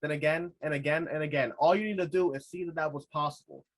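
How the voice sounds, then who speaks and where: A man's voice: on the high side, steady tone, fast talker, poor audio. A man hosting a casual podcast interview, rambling through his points with lots of filler words. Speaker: podcast host.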